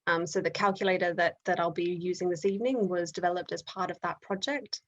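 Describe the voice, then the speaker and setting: A woman's voice: on the low side, fairly even intonation, brisk, dull audio. A woman with an Australian accent hosting an online event on a video call, talking with frequent ums and restarts. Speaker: event host.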